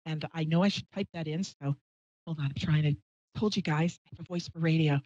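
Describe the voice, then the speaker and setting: A woman's voice: low voice, fairly quick, a little mellow. A woman hosting a live call-in radio show in a chatty, scattered, self-deprecating way. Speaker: radio talk show host, psychic medium. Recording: dull, roomy live stream audio.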